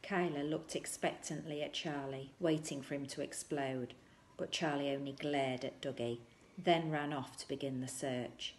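Woman, middle aged, low voice, reading a children's book out loud. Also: fairly even intonation, moderate pace, slight background noise. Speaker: volunteer reader. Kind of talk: reading a children's story aloud. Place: small indoor room at home.

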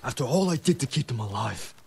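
An actor playing a proud, bitter ronin mercenary in a video game, gruff and aggrieved, in dramatic delivery. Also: male voice, medium pitch, lively intonation, fast, a little mellow, a little hiss or hum. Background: game music.

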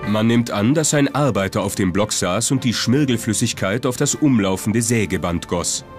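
A man's voice: fairly low pitch, expressive, moderate pace. A man reading scripted documentary narration. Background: music.

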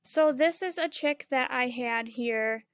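A woman hosting her own channel, explaining a chicken condition in a gentle, slow voice-over. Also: high voice. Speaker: backyard chicken keeper and channel host. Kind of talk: informal explainer over phone footage.

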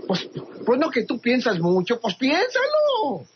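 An actor playing a grumbling, slow-witted bandit cousin in a radio drama, folksy and querulous, with a northern Mexican accent. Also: male voice, very high voice, huge pitch swings, noisy background, exaggerated voice. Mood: complaining, worried. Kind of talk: comic radio drama acting. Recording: muffled radio drama under loud ambience.

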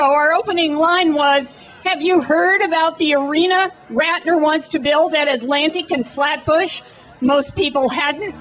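Slow, very high-pitched American woman rallying. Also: fairly bright tone. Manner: declamatory, emphatic, repetitive cadence. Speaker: activist rally speaker. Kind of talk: prepared protest speech. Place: outdoor city rally stage. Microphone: microphone on a stand.

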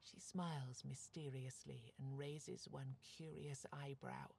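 A woman giving hushed, scripted narration for a fantasy game. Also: very deep voice, steady tone, takes it slowly, creaky, a little hiss or hum, exaggerated voice.

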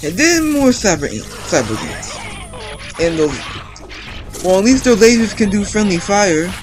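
एक किशोर लड़का, जो गेम खेलते हुए बिखरी, बातूनी कमेंट्री करता है, बार-बार "um" कहकर वाक्य दोबारा शुरू करता है, पीछे गेम का संगीत साफ़ बजता है।. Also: male voice, high voice, sing-song, measured pace. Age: teens. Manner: rambling, chatty, many restarts and ums. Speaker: gameplay commentator. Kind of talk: casual let's-play commentary. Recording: game music playing underneath.

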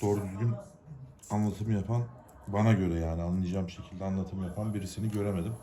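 A man's voice: sits low, steady tone, quick pace, mellow. A man from Turkey gives an informal, unscripted how-to, explaining step by step in plain, colloquial words. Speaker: hobbyist giving a DIY repair tutorial.

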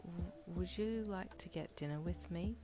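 A woman voicing a gentle, shy young male swordsman with a soft, hesitant way of talking, in a reader-insert story. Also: fairly low pitch, moderate pace, over music, natural voice.

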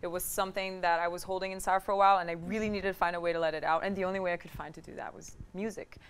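A woman's voice: lowish voice, fairly even intonation, racing, clean tone. Chatty young woman guest from Brazil. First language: Portuguese. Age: 20s. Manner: chatty, reflective, lots of "like" fillers. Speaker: guest singer-songwriter. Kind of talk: talk show interview. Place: TV studio. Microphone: lapel mic.

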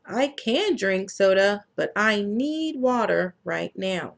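An earnest little boy explains, in a slow and simple picture-book voice, what he needs and wants, as a woman reads him aloud. The delivery is unhurried and a bit gravelly at the edges. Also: mid-range voice, animated.

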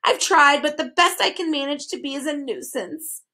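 Woman voicing a storybook bird, high-pitched, sharp, cackling, malicious, haughty, pedantic. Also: very animated, moderate pace, heavily acted. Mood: wistful. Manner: cackling, shrieking, twisting others' words. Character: filthy malicious haughty male talking bird.

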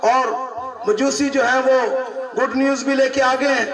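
A man with a sore throat preaches a slow, deliberate sermon in a high voice, firing questions at his congregation. He sounds distant from the mic in an echoing hall. A crowd can be heard behind him. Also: level tone. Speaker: pastor.

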